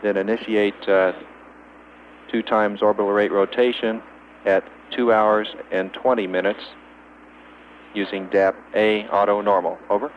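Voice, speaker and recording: Low, flat male mission radio operator. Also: measured pace. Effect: two-way space radio. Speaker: capsule communicator at mission control. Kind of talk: procedural radio communication. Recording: muffled, hissy archival radio link.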